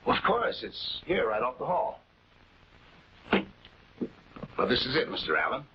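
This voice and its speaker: Uneasy gentleman, very animated pitch. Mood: flustered, obliging. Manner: hesitant, over-polite, halting. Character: courteous, uneasy married householder and guardian. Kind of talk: late-1940s television drama acting. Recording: dull, noisy 1940s film soundtrack.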